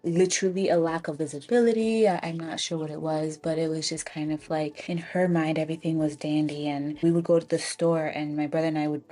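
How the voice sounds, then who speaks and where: Young woman, American accent, low warm voice, reflective casual storytelling, faint music behind. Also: fairly even intonation, a little fast, hardly any pauses. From Ghana and the Bronx, US. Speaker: personal finance storyteller.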